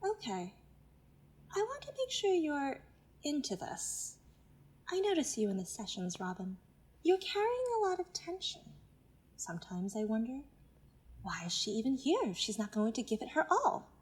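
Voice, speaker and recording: Patronizing self-help mentor, high voice, animated melody, insistent, naturalistic audio drama. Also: female voice, normal speed, long pauses. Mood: pressing, insistent.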